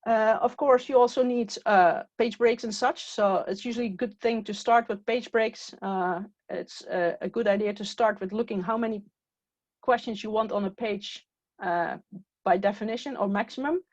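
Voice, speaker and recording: A woman whose first language is Dutch walks through a software screen step by step, pausing on "uh" as she clicks. Her voice sits at a middle pitch and comes through a dull, lo-fi recording with a dry, close sound. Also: no echo. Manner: step-by-step, with frequent "uh" fillers. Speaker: software trainer. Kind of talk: screen-share tutorial walkthrough.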